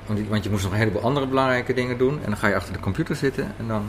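A man's voice: medium pitch, racing. A man interviewing casually, probing and thinking aloud as he asks. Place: café. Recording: faint café background noise.

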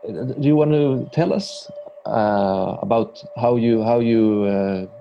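A man interviewing a guest on a podcast, framing his questions hesitantly, with many pauses and restarts. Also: fairly low pitch, warm tone, slight background noise. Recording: dull lo-fi sound.

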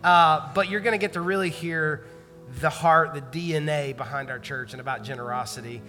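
A man's voice: fairly high pitch, a little fast, pushed and bright, long reverb tail, faint music behind. A man, a pastor, making casual conversational church announcements and introducing a guest, with loose fillers and restarts. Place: large church auditorium.

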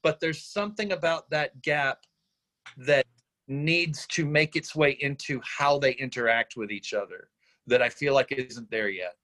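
A man's voice: mid-range voice, measured pace, pushed and bright. A man, an actor in a casual workshop discussion, talking bluntly and acting out example lines. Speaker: actor from a script reading giving feedback.

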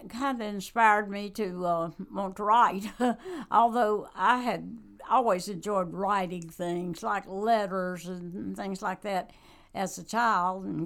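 A woman, talking slowly in a casual interview as a guest and author, with many pauses and fillers. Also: mid-range voice, fairly bright tone. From North Carolina. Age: 90s. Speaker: guest, church member and author.

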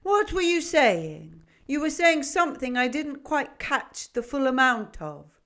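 A woman voicing a lonely, blind old goat with a gruff, cobwebby voice and a fussy way of talking, in a read-aloud storybook. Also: sits high, big pitch swings, a little slow, a bit bright, voice pushed up, heavily acted. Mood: questioning. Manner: fussy, keeps asking "yes, yes?". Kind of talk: storybook reading.

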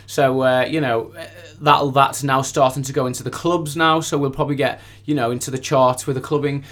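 Male recording artist, mid-pitched voice, chatty and informal, relaxed studio interview delivery. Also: a little fast. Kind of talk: casual studio interview chat.

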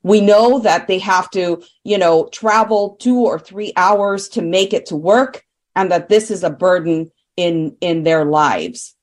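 A woman speaking as a union representative at a press briefing, firm and deliberate as she lays out points. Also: fairly low pitch. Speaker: hotel workers' union representative. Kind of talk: spoken statement at a press briefing.

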